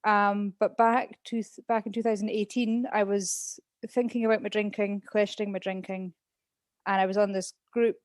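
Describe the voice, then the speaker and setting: Scottish woman guest on a podcast, open and chatty, lots of ums, dry close sound, mid pitch. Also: little pitch movement, moderate pace, no echo. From Scotland. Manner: open, chatty, many "um"s while thinking. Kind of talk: casual interview chat.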